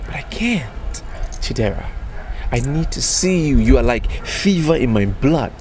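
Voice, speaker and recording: A man's voice: mid-range voice, expressive, a little slow, a little mellow, slight background noise, natural voice. An actor playing a charming young male student who keeps chasing a girl, teasing and earnest by turns, his first language Yoruba. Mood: pleading. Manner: teasing, smooth-talking, earnest. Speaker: persistent student suitor. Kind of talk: film drama acting.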